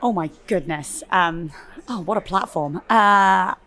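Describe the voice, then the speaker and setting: A young woman with a slightly husky voice, chatting casually about her theatre show with lots of fillers. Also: fairly low pitch, slow pace, fairly bright tone. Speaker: theatre performer. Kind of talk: casual interview chat. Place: festival venue interview.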